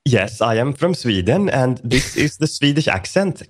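A man's voice: medium pitch, very animated, normal speed. A man putting on a singsong Swedish accent. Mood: mocking. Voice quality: exaggerated lilting sing-song accent. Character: stereotypical singsong Swedish man speaking English. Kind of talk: podcast chat impression.

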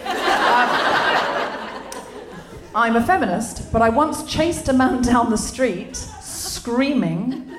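A middle-aged English woman with a slight Australian tinge hosting a live comedy podcast on stage, animated and laughing as she tells stories. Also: on the high side, background noise. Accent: English with a trace of Australian. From England. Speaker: podcast host. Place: comedy venue stage before a live audience.

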